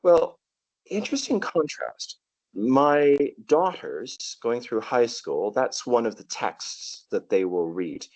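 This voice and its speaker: A man's voice: medium pitch, animated, slow, a little mellow, dry recording, rough recording. A middle-aged man with a Canadian accent, telling stories as a guest on a casual podcast and often repeating a word as he starts. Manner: thoughtful, storytelling, repeats words at starts. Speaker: guest, tour guide.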